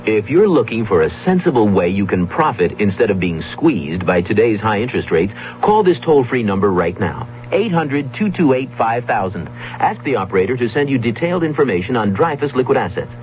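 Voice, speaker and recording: A man reading a radio commercial in a brisk, persuasive announcer manner. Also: lowish voice, very animated. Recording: dull old radio recording with music.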